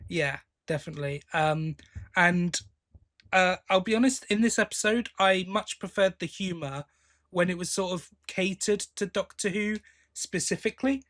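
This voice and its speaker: A man's voice: high-pitched, moderate pace, fairly bright tone. A man with a British accent co-hosting a podcast, chatting casually in a blokey, teasing way. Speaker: podcast co-host. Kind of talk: casual chat, fan review.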